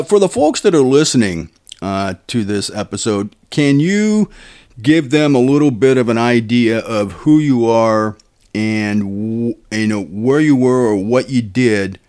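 A man's voice: mid-range voice, a little mellow. Rambling podcast host, a man. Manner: rambling, filler-heavy questions. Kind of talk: casual podcast interview.

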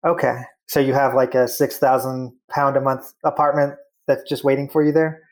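A man hosting an interview segment, chatty and friendly, full of fillers and quick follow-up questions. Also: medium pitch, level tone. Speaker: interview host. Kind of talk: casual interview.

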